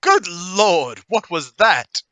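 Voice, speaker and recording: A man playing a hearty, brash English-gentleman type with theatrical banter in a radio play table read. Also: high voice, very animated, slow pace, very noisy, exaggerated voice. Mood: shocked. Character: cheery, brash gentleman brother-in-law with hidden cunning.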